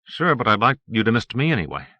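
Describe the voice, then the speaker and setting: A man's voice: mid-range voice, very animated, fast talker, dry recording, highs cut off, voice pushed up, close to an everyday voice. A man voicing a glib, defiant citizen under questioning in an audiobook, breezy and protesting. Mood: eager bluffing. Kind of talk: audiobook character acting.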